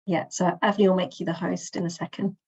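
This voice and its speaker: A woman's voice: lowish voice, flat delivery, brisk, darkish tone, slightly rough, muffled recording. A woman from the UK, a relationship coach hosting an online session, giving an encouraging introduction with small restarts. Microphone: video call.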